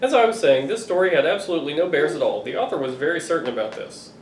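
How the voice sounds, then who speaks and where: A middle-aged man reading a picture book aloud to children, expressive and playfully exasperated. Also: on the high side, quick pace. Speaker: father reading to his children. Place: living room sofa, indoors. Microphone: camera a few feet away. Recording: roomy, distant home recording with noise.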